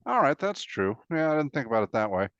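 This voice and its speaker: A man of average pitch chats casually as a co-host who asks questions. His voice is captured very dry, with a slightly dull, lo-fi sound. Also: sing-song, fast talker, dry recording.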